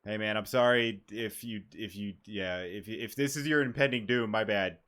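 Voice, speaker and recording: A man's voice: lowish voice, normal speed, a bit bright. A man giving casual, half-joking live commentary over a video game, informal and prone to swearing. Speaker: gaming streamer. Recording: game music behind the voice.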